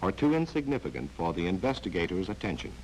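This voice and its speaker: A man's voice: low-pitched, very animated, quick pace, a little mellow, poor audio. A man delivering stern, sardonic scripted narration for a 1940s training film, addressing the listener directly. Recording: hissy old film soundtrack.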